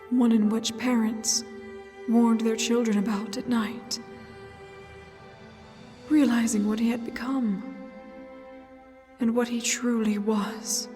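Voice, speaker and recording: A woman narrating a fairy tale in a solemn, dramatic storybook style, with deliberate pauses between short phrases. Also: fairly high pitch, darkish tone, in a large hall.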